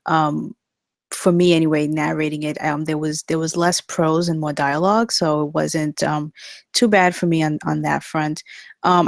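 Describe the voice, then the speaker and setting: Casting: female table-read participant, low register, polite, tentative, informal delivery, very dry sound. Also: no echo. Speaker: narrator in a script table read, giving feedback. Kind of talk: informal spoken feedback.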